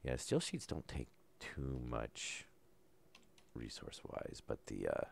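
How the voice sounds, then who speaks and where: A man calmly talking through a survival game as he plays, low-key and unhurried, with no put-on enthusiasm. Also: vocal fry, a little hiss or hum. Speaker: gameplay commentator. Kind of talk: casual let's-play commentary.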